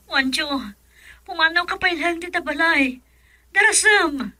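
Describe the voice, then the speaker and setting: A woman's voice: extremely high pitch, a little slow, pushed and bright, no room sound, heavily acted. A woman playing a distressed young wife in a melodramatic radio drama, fearful, tearful and pleading. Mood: anxious pleading.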